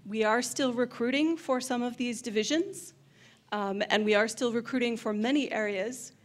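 A woman's voice: on the high side, normal speed. A woman presenting on a handheld mic in a large hotel function room, upbeat and eager. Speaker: convention presenter. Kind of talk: panel presentation. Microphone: handheld microphone.

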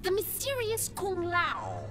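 A woman's voice: very high voice, wildly swooping pitch, slow, heavily acted. An actress playing a haughty, mocking, sinister insect woman in a fighting game. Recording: music behind the voice.

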